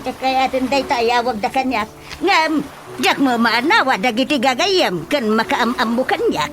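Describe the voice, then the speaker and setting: A woman's voice: high voice, expressive, a little slow, a bit bright, a little hiss or hum. A woman with a Filipino accent playing a fussy, complaining villager in a comedic radio drama. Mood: insistent. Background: music.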